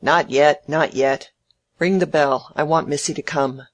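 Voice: mid-range voice, steady tone, measured pace, lightly acted. A narrator voicing a contemptuous, miserly old man in an audiobook, curt and emphatic. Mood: snappish, impatient. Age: elderly. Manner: curt, emphatic, sneering, barking orders. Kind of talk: dramatised audiobook character.

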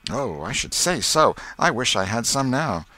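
Man playing an eager, folksy schoolboy, lively pitch. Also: moderate pace, no room sound, poor audio. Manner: folksy, insistent, coaxing. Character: eager, boastful, pushy young schoolboy.

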